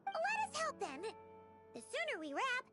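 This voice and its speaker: A woman voicing a tiny, eager, childlike fairy companion in a video game, excitable and squeaky. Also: unhurried, over loud music, heavily acted. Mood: eager, flustered.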